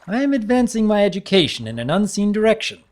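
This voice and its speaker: A man acts out a bookish pony baron in a dramatic story reading. The voice sits on the high side and swings playfully, with mock-formal wordiness and a kindly, teasing temper. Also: very animated, quick pace, darkish tone. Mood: wry, playful.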